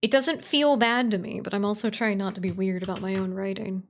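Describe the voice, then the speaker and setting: Woman streamer, middle pitch, casual and excitable, self-conscious writer talking to her chat. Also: brisk, a little hiss or hum.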